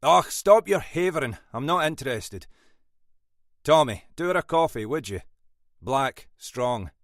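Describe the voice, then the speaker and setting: A man's voice: on the high side, animated, unhurried, no echo, studio quality, voice pushed up. A man voicing a blunt, scolding older Scottish detective boss in an audiobook. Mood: stern, commanding. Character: gruff older Scottish male detective boss, stern but fatherly. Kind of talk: audiobook character dialogue.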